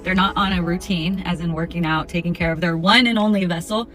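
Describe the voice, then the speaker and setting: A woman's voice: on the low side, steady tone, fairly quick, a bit bright, soft background music. A woman in her thirties giving her frank, firm opinion on dating. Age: 30s. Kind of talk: opinionated vlog monologue.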